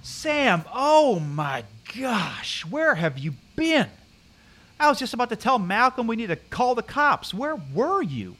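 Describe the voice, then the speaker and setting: A man's voice: very high-pitched, sing-song, measured pace, fairly bright tone, slight background noise, full character voice. An actor playing a concerned, chatty young male camp counselor in an audio drama, talking casually and earnestly. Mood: worried, exasperated.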